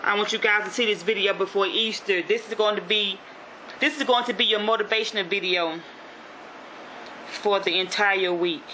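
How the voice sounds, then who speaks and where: A woman's voice: medium pitch, steady tone, moderate pace, sharp tone, a little hiss or hum, low-quality recording. A woman from Shreveport in North Louisiana with a Southern accent, vlogging in a casual motivational style, rambling with lots of "you know" and restarts. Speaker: YouTube vlogger.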